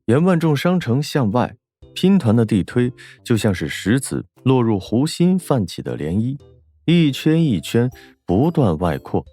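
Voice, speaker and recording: A man's voice: medium pitch, dark tone. A man reading a scripted novel as an audiobook narrator.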